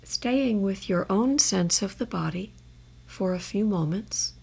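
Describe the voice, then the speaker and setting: A woman guiding a meditation in a measured, gentle way, leaving space between her phrases. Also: medium pitch, vocal fry, husky. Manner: measured, gentle instruction with long pauses. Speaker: meditation guide. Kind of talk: guided body scan meditation.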